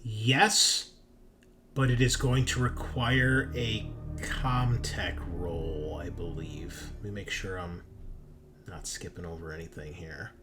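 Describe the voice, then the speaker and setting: A man narrates a tabletop game as its game master. His delivery is slow and halting, as if he is working things out aloud. Faint music plays behind him. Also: deep voice.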